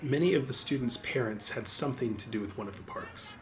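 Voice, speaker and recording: Man narrating an audiobook, normal pitch, some faint ambience noise behind him. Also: brisk.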